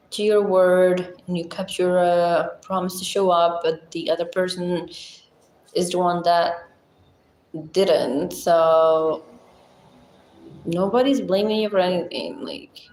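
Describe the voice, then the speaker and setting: A Moroccan woman with a Moroccan accent, hosting a casual livestream with a blunt, chatty, deep-voiced way of talking and frequent laughs. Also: darkish tone, reverberant, rough recording. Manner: chatty, blunt, laughs often, thinks aloud. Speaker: livestream host. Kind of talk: casual livestream chat reading comments.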